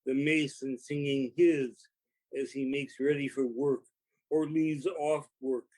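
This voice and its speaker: A man gives a dramatic poetry recitation at a slow pace. His voice sits at an ordinary male pitch, and he sets phrases apart with measured pauses. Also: steady tone, a little mellow. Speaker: poetry reader.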